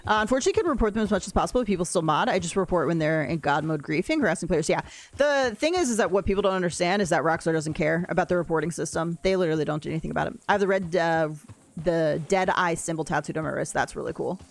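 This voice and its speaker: A woman with a deep voice, chatting casually and rambling while she plays a game on a livestream and answers her chat. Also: rapid-fire. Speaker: streamer. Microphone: desk mic, no camera.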